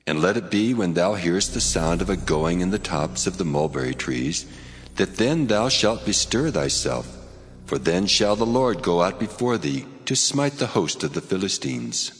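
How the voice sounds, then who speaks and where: An actor voicing God in a dramatized audio Bible, solemn and commanding in delivery. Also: male voice, low voice, expressive, moderate pace. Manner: commanding, declamatory. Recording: long hall reverb over music.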